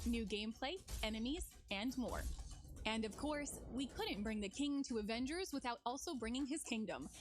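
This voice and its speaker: A woman with a fairly high voice presents a scripted game showcase. Her delivery is polished and articulate, in the manner of a promotional presenter.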